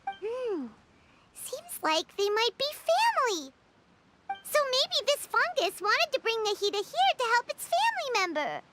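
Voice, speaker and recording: Tiny floating female sprite, shrill and bouncy, very high, swooping wildly, game music behind. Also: sing-song, measured pace, heavy background noise, exaggerated voice. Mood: chatty, curious. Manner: chatty and eager. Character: small floating female companion creature, chatty and excitable. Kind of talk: video game voice acting.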